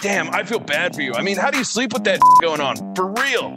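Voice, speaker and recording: A man's voice: sits high, sing-song, a little fast, loud music behind the voice. A man playing a slangy, easily amazed stoner host of a comic call-in radio show. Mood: sympathetic, amazed.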